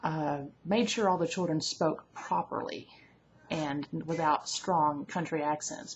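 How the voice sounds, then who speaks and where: A woman's voice: low-pitched, gravelly. A woman in her thirties from Alabama with a mild Southern accent, chatting candidly to a webcam at home and often correcting herself mid-sentence. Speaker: vlogger. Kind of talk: casual talk to camera. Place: at home indoors. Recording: dull lo-fi webcam audio with faint hiss.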